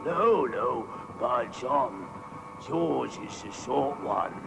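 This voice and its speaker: A man voicing a slow, dim, obedient cartoon henchman of a mad scientist, with a gravelly, drawling delivery. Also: mid-range voice, expressive, very noisy, heavily acted. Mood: dutiful. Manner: plodding, drawling. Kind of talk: 1960s cartoon voice acting. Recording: old cartoon soundtrack with loud music.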